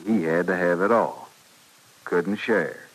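Low, husky dying frontier storekeeper. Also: male voice, rough recording. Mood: weak, confessing. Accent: American, western. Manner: halting, plain, resigned. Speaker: radio actor. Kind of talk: 1950s radio western acting. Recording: vintage radio recording.

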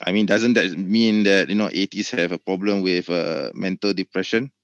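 A man from Malaysia in a heated live debate, speaking haltingly and often repeating words as he pushes back. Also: low voice, no room sound. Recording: dull lo-fi audio.

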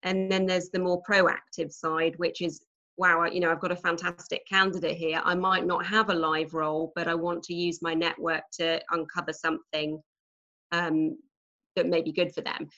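A woman in her forties from Norwich, England, with an English accent, chatting as a podcast guest about her work in a relaxed, explaining way with frequent ums. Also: lowish voice, moderate pace, highs cut off. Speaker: recruitment manager, guest. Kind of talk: conversational podcast interview.

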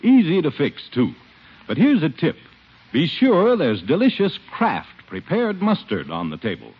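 An American man with a husky voice reading a scripted 1950s radio commercial as an announcer. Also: fairly high pitch, huge pitch swings, a little slow, muffled recording.

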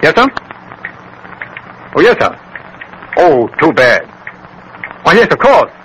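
An actor playing a hearty British army officer in colonial India, with clipped, jolly old-fashioned slang and a British accent, in 1930s radio style. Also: male voice, fairly high pitch, very animated, takes it slowly, a bit bright, exaggerated voice. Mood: reassuring. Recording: noisy vintage 1930s radio recording.

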